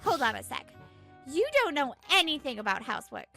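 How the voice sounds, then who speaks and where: A woman's voice: high voice, big pitch swings, brisk, creaky, pushed and bright, soft background music, heavily acted. A woman playing an exasperated young pregnant housewife in a manga dub, complaining expressively and narrating her troubles.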